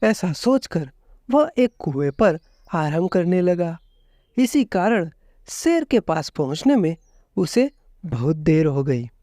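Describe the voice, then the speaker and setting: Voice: medium pitch, warm tone, no room sound, clean studio sound. A storyteller giving a scripted narration of a children's fable.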